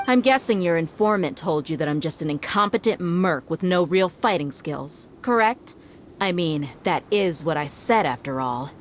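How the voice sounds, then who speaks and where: A woman's voice: on the low side, slight background noise, lightly acted. A woman voicing a confident female desert mercenary in a game cutscene, cocky and teasing. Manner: cocky, teasing, laid-back. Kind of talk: video game cutscene dialogue.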